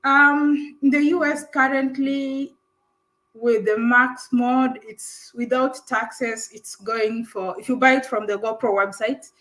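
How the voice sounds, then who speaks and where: A woman's voice: high voice, fairly even intonation, slow pace, a bit bright, rough recording. Chatty woman hosting a live stream. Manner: chatty, laughs often, talks while unboxing. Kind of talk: casual live-stream chat.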